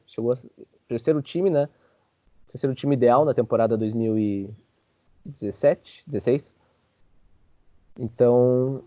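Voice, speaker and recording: A man's voice: mid-range voice, dark tone, no room sound, lo-fi sound. A man hosting a sports show with casual, joking basketball commentary full of informal filler words.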